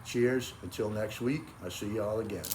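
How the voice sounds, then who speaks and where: A retired man in his sixties with a Boston accent talks to camera in an easy, folksy way, full of friendly asides. His voice sits a bit low, and the outdoor recording carries plenty of background ambience. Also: normal speed, darkish tone, a little hiss or hum. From Cape Cod, Massachusetts. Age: 60s. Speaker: grilling vlogger. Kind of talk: casual chat to camera. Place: backyard by the grill, outdoors.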